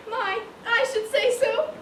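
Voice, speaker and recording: A woman's voice: very high voice, fairly even intonation, slow pace, roomy sound. Woman doing a sugary nosy gossip lady in a stage play, coy, far from the mic, noisy. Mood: coy amusement. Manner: arch, coy, sugary politeness. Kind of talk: amateur one-act stage comedy acting. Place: stage in a large echoey room.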